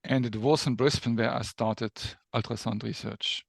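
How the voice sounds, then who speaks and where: A man's voice: mid-range voice, steady tone, normal speed, vocal fry, no room sound, clean studio sound. A mature man from Germany with a German accent, speaking as an academic guest explaining things in an interview. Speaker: guest professor, neuroscience researcher.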